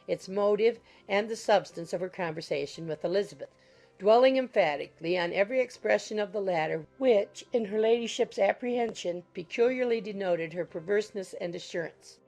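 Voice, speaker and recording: A woman's voice: mid-range voice, darkish tone, poor audio. A woman reading scripted literary narration from a classic novel as an audiobook narrator.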